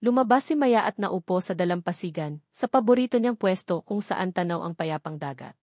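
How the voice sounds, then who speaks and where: A woman's voice: on the low side, lively intonation, fairly quick, darkish tone, dry recording, studio quality. A woman with a Filipino accent reads a dramatic romance story as a scripted narration.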